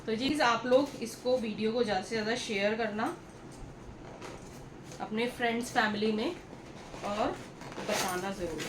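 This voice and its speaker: A woman's voice: on the high side, unhurried, long pauses. A woman telling a story to viewers in a casual, chatty vlog style, repeating herself and addressing them directly. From India. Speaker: vlogger. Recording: echoey room with clear background noise.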